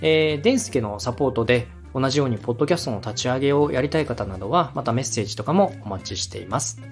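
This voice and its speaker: A man's voice: medium pitch, animated, very fast, faint music behind. A man hosting a podcast, giving feedback in a polite, encouraging way. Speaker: podcast host and adviser. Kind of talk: friendly podcast coaching talk.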